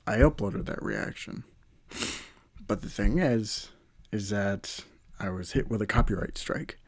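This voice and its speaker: A man's voice: fairly low pitch, lively intonation, measured pace. A man hosting a solo podcast in casual, rambling, hesitant talk, his voice slightly nasal from a runny nose. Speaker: podcast host.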